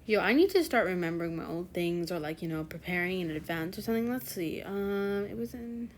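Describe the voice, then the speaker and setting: A woman's voice: lowish voice, a little fast, slight background noise. A teenage girl hosting her own channel, chatting casually to viewers in a rambling way with lots of fillers and self-corrections. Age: teens. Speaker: fanfiction channel host.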